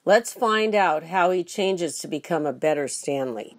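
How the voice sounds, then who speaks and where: A woman, a teacher, reading aloud to children in an expressive storybook style. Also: sits low, measured pace.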